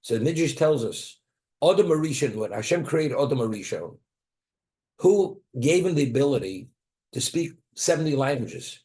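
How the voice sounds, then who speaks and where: A man gives a Torah class at a mid-range pitch. He keeps asking rhetorical questions and answering them himself, dropping Hebrew and Aramaic phrases into his English. He thinks aloud, repeating words and restarting as he goes. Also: lively intonation, normal speed, warm tone. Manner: mixes Hebrew and Aramaic terms into English explanation. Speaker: Torah teacher.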